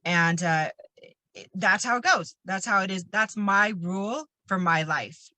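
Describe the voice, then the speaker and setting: A woman's voice: mid-range voice, unhurried, pushed and bright, no room sound. A woman hosting a motivational podcast conversation, who talks emphatically and repeats her points for stress. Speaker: podcast host and retreat coach.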